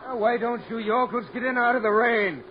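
An actor playing a boastful, showboating harbor police officer who bellows at crowds, in a 1940s radio drama. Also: male voice, very high voice, fairly even intonation, fairly quick. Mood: bellowing. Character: showboating, loudmouthed male harbor cop. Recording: lo-fi old radio broadcast with crowd and noise.

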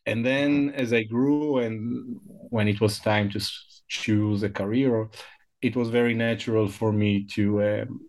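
A man's voice: fairly low pitch, fairly even intonation, measured pace, a little mellow. A man, Hebrew speaker, giving reflective interview answers as a guest scholar. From Israel. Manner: reflective, searching for words.

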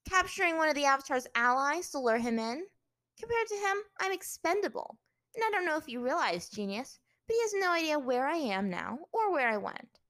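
Scornful young warrior princess for fantasy audiobook, acted by a female narrator: raised pitch, indignant, sarcastic, biting. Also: sing-song, a little fast, fairly bright tone, dry recording. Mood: defiant, sarcastic. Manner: indignant, sarcastic, punctuating words sharply. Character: defiant, proud, scornful young captive princess fire-warrior.

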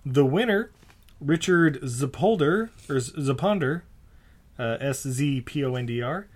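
A man's voice: medium pitch, lively intonation, measured pace, darkish tone. A man co-hosting a podcast, chatting casually and joking while he reads out trivia. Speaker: podcast co-host. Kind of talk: casual chat.

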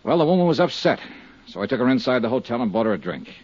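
A man's voice: medium pitch, very animated, fast, darkish tone, slightly rough, no room sound. An American man playing a world-weary, candid insurance investigator in a 1950s radio detective drama, speaking in plain, clipped hard-boiled style. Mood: matter-of-fact. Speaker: lead actor and narrator of a detective serial. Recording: muffled, dry 1950s radio recording.

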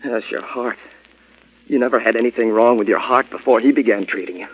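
A man's voice: medium pitch, fairly quick, breathy edge, lightly acted. An actor playing a bitter, agitated young man in old radio drama style. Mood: urgent, pleading. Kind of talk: 1940s radio acting. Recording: muffled old radio recording, faint noise.

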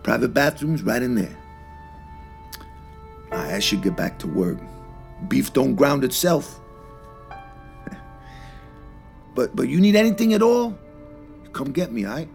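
An actor playing an easygoing, generous New shopkeeper, talking in casual, reassuring slang. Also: male voice, medium pitch, expressive, takes it slowly, vocal fry, long pauses, faint music behind. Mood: casual, subdued. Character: easygoing, generous, rough-edged New York shopkeeper, man. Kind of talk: naturalistic audio drama dialogue.

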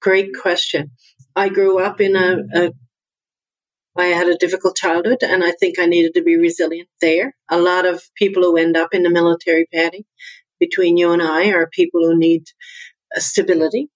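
A woman's voice: lowish voice, level tone, moderate pace, dry recording, rough recording. A woman from Newfoundland with a Newfoundland accent, a business coach talking earnestly as a podcast guest. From Newfoundland, Canada. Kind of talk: conversational interview.

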